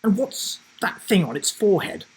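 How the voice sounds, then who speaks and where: A man's voice: high voice, sing-song, moderate pace, voice pushed up, rough recording. A man voicing a stern, easily shocked woman schoolteacher in a children's storybook, prim and insistent. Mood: disapproving.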